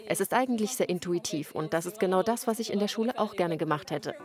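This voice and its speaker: A woman's voice: lowish voice, fast talker. A young woman in her twenties, a program participant, giving earnest, reflective answers in an interview. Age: 20s.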